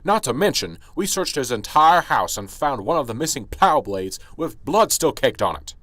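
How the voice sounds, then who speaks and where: Man playing an older, animated small-town police sergeant. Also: medium pitch, normal speed, dry recording. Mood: exasperated, insistent. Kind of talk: amateur radio drama acting.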